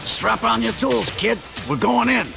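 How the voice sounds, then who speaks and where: An actor playing a bossy, streetwise older-brother plumber with a gravelly voice in a radio play. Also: male voice, very high-pitched, measured pace, over music, exaggerated voice. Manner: brash, wisecracking, streetwise.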